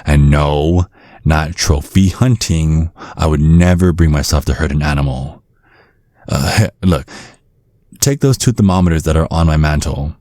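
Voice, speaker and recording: A man acting a menacing, courteous old vampire father in an ASMR roleplay, speaking in measured, slyly threatening tones. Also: very deep voice, a little mellow, no room sound, clean studio sound. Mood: smug, courteous. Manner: measured, veiled threats under courteous small talk. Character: menacing ancient vampire father, polite, sly and controlling.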